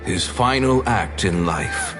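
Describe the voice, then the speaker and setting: An actor playing a grave, sorrowful doctor in a game, telling his tale in a reflective, deliberate way over music. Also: on the low side, lively intonation. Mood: solemn storytelling. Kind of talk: dramatic scripted narration in a game. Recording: loud music behind the voice.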